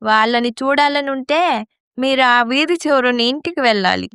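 A resentful young daughter-in-law played by a woman with a sharp tongue. Her voice is fairly high and crisp, recorded dry for a family story. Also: moderate pace, dry recording. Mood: defiant, accusing. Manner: accusing, defiant.

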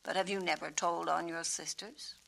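A gentle and wise peacock from a children's storybook, acted by a woman. He speaks slowly with humble self-assurance, in a bright timbre over the hiss of an old record. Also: fairly low pitch, steady tone, creaky. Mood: thoughtful. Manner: slow, deliberate, natural and humble.

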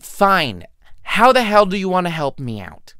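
A man's voice: high voice, wildly swooping pitch, a little slow, pushed and bright, smooth, dry recording, studio quality, exaggerated voice. A man voicing a heartbroken, self-pitying young male art student in a story, grumbling with melodrama and sardonic bite. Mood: exasperated.

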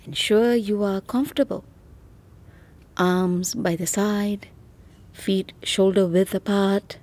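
A woman guiding a relaxation exercise, speaking softly with long pauses and now and then slipping toward a whisper. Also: mid-range voice, steady tone. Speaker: meditation guide. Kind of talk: guided relaxation narration.

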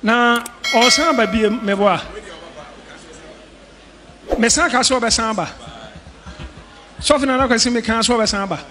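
An older man with a Ghanaian accent preaching on a church stage, mixing Twi and English, joking and shouting with a handheld mic. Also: very high voice, measured pace, pauses often, noisy background. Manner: animated, joking, shouting at times. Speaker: pastor. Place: large indoor church hall. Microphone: handheld microphone.

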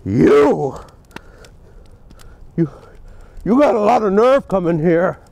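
A man in his thirties with a fairly high, swooping voice hams up grave, earnest lines for comic effect. He speaks outdoors near a stadium, close to a handheld mic. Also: big pitch swings, takes it slowly, a little mellow, no echo. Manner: theatrical, gravely earnest delivery played for laughs. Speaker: comedy show co-host. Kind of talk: mock-dramatic comedy sketch and sports show banter. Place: outdoors in snow beside a stadium.

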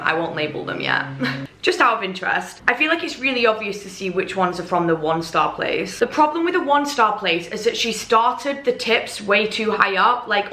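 Chatty, bright-voiced young woman vlogging. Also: mid-range voice, echoey room. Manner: chatty, blunt, lively. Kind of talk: casual vlog talk to camera. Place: home kitchen, indoors.

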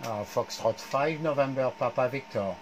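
A man's voice: medium pitch, moderate pace, heavy background noise. A middle-aged man with a French accent making amateur radio contacts, spelling out call signs phonetically. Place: small home radio room. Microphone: headset.

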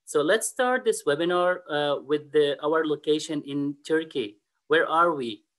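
A man whose first language is Arabic, an admissions officer giving a webinar presentation over a computer mic, often pausing on fillers. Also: on the high side, fairly bright tone. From Middle East and North Africa. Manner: hesitant, frequent uh fillers and restarts.

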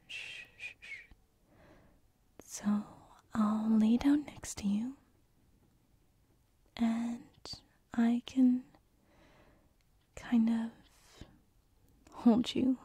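A woman playing a gentle, teasing sleep paralysis demon in a whispered ASMR roleplay, close on a binaural microphone, with a breathy, hesitant delivery. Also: fairly high pitch, steady tone, no room sound, full character voice. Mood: sympathetic, soothing. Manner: slow, soothing, hesitant, teasing.

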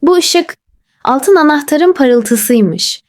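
A woman narrating a children's bedtime story in a soft, gentle, lilting way. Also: high voice, lively intonation, slow pace, no room sound, studio quality.

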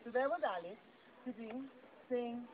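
A woman's voice: fairly high pitch, moderate pace. A woman performing an interactive story for children in a theatrical, playful way, chatting and putting questions to them. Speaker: puppet show performer. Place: live book festival puppet show. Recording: tinny lo-fi phone-like, very noisy event.

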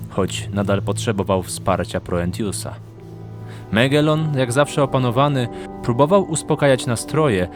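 A man narrating a fantasy audio drama in a measured, suspenseful storytelling manner. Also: medium pitch. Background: music.

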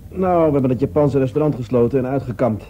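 An actor playing a modest, slightly bashful police adjutant in a Dutch radio drama, speaking deferentially. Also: male voice, medium pitch, quick pace, dark tone, soft background music, close to an everyday voice. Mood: matter-of-fact. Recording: dull, faintly noisy radio recording.

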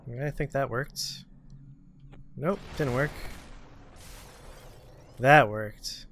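A man's voice: medium pitch, very slow, fairly bright tone. A man doing casual let's play commentary as host, muttering to himself with self-deprecating swearing. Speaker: gameplay show host. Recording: clear background game noise. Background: video game sound effects.

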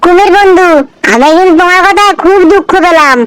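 A performer voicing a cunning, smooth-talking cartoon fox who coaxes with false friendliness. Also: extremely high pitch, fairly quick, a bit bright, slight background noise, low-quality recording. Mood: feigned hurt, urging. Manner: coaxing, wheedling, falsely friendly. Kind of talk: children's folk-tale cartoon dialogue.

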